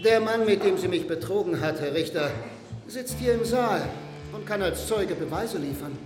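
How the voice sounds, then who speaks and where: An actor in a German radio drama playing a scheming, self-important husband who gives emphatic, businesslike instructions. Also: male voice, sits high, expressive, normal speed. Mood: formal accusation. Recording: music under the voice.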